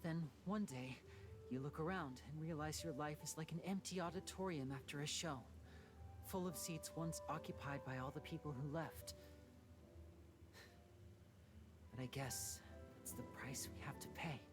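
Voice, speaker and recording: A man playing a gentle, secretive young stage magician in a video game, speaking in a measured, soft, theatrical way. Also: on the high side, close to an everyday voice. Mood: melancholy, reflective. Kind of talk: video game voice acting, dramatic monologue. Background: music.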